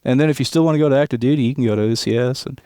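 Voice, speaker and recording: A man in his late thirties from Tennessee, a police aviation unit leader telling his life story in a relaxed podcast interview, chuckling often. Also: medium pitch, rapid-fire, clear, resonant tone, no room sound, studio quality. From West Tennessee, USA. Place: hangar office.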